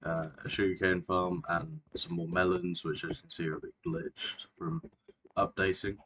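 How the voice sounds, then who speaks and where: A man's voice: low-pitched, big pitch swings, moderate pace, gravelly, fairly bright tone, a little hiss or hum, rough recording. A man, narrating a game tour casually, rambling and often correcting himself. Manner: rambling, self-correcting, many fillers. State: sore throat, clearing throat and coughing. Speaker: Minecraft video creator.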